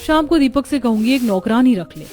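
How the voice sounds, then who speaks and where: A woman voicing a resentful, sharp-tongued young married sister-in-law in a cartoon story, in a complaining and scolding manner. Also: on the high side, expressive, brisk, close to an everyday voice. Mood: grumbling. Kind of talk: Hindi cartoon story dialogue. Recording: music behind the voice.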